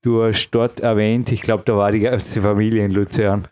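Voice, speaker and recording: A man's voice: on the low side, little pitch movement, moderate pace, a little mellow, clean tone. A man hosting a conversational podcast interview, putting his questions in a wordy, meandering way.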